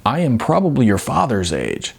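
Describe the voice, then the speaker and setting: Man reading as halting, gravelly middle-aged man. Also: mid-range voice, wildly swooping pitch, voice pushed up, heavily acted. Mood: awkward protest. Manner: halting, hesitant. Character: awkward, reluctant middle-aged man, gentle and flustered.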